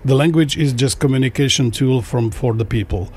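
Brief: middle-aged man, translator on a discussion panel, mid-pitched, pauses and restarts often, careful English. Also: a little mellow, breathy edge. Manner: halting, frequent restarts, non-native phrasing. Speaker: translator and interpreter.

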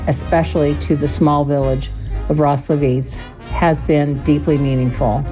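A woman's voice: sits low, flat delivery, moderate pace, over music, rough recording. A woman from Alaska giving a prepared first-person account of her own life as a radio contributor. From Alaska, United States. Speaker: listener sharing her story.

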